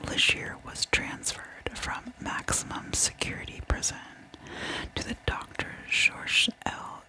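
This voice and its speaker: A woman whispering a true crime story in ASMR style, with a soft, breathy, unvoiced delivery and deliberate storytelling. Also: rough recording.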